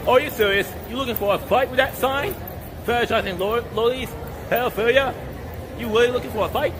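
A man's voice: extremely high pitch, a little fast. A man confronting someone in an agitated, challenging way at a busy public venue. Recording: noisy crowd and music, outdoor clip.

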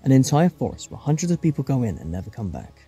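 A man's voice: mid-range voice, big pitch swings, quick pace, mellow, breathy edge, faint music behind, exaggerated voice. A man performing earnest, alarmed adventurers in a fan-made audio drama, with dramatic, emotive delivery. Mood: puzzled, serious. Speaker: voice actor performing all parts.

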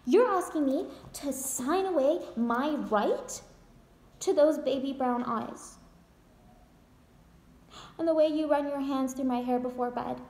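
Wounded, defiantly joking wife played by a woman: high voice, emphatic stage-monologue delivery, roomy echo. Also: slow pace, long pauses, darkish tone. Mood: pleading, determined. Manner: emphatic, theatrical, addressing her husband directly. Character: desperate, wounded wife fighting her divorce, defiantly joking. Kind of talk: dramatic theatrical monologue. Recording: echoey untreated room.

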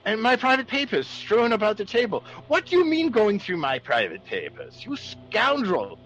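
An actor playing a genial, excitable aristocratic country squire, well-bred and blustering, in classic radio drama. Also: male voice, high-pitched, moderate pace, faint music behind. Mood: outraged. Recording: dull, faintly noisy old radio recording.

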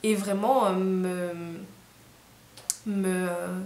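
A woman's voice: medium pitch, little pitch movement, very slow, a little mellow. A young woman from Rwanda, talking to camera at night, tired and hesitant, with many fillers and restarts. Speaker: vlogger. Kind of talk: casual reflective monologue to camera. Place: small room indoors. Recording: roomy echo, faint hiss.

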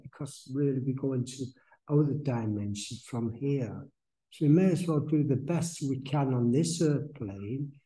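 An older man giving a soft-spoken, rambling spiritual talk at a church lectern, full of restarts and fillers. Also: medium pitch, low-quality recording. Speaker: guest speaker, medium. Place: church hall, indoors. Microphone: stand mic at lectern.

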